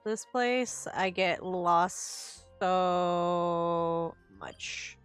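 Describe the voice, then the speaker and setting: Slow, playful woman game streamer. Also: lowish voice, faint music behind. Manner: playful, chatty, reacts aloud. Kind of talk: casual live playthrough commentary.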